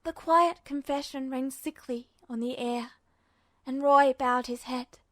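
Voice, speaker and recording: A woman's voice: high voice, measured pace, a bit bright, dry recording. A woman reading fiction aloud in a dramatic audiobook narration style.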